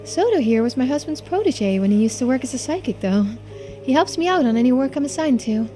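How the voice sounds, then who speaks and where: A woman's voice: high voice, very animated, a little fast, dark tone, faint music behind, voice pushed up. A woman voicing a composed, polite housewife investigator in a video game, speaking formally and matter-of-factly. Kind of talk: video game dialogue read-through.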